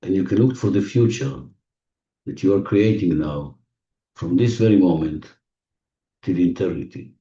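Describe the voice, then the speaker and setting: A man leading a guided visualization in non-native English phrasing, giving gentle, repetitive instructions with deliberate pauses, recorded muffled and distant in an echoey room. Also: low voice. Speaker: guided meditation leader. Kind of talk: guided visualization, spoken slowly to a listener.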